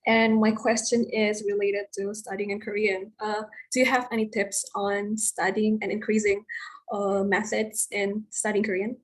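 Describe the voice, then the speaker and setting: A woman participant politely asking a question in an online session, slow and a little hesitant. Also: mid-range voice, little pitch movement. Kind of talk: asking a question on a video call.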